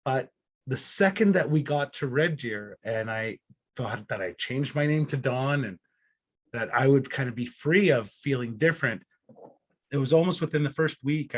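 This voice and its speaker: A middle-aged man from Israel who grew up in Canada, giving an earnest personal storytelling talk as a guest speaker, with deliberate, dramatic pauses. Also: medium pitch. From born in Israel, grew up in Alberta, Canada.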